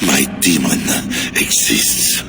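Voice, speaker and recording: A man's voice: slow pace, natural voice. An actor voicing an unstable, scheming medieval king who swings between frightened pleading and sly mockery. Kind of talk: video game cutscene. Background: music.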